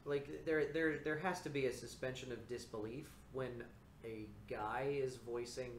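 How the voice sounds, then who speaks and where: A man hosts a casual livestream chat at a middling pitch, easygoing and quick to laugh. He thinks out loud as he talks. The room rings back noticeably, with a faint hum of ambience behind him. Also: steady tone. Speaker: voice actor and stream host. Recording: roomy echo, faint ambience.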